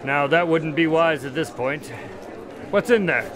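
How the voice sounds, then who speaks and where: A man's voice: fairly high pitch, a little slow, a crowd in the background, natural voice. A man playing a skeptical doctor and detective's sidekick in an audio drama, questioning and mildly exasperated. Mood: wry.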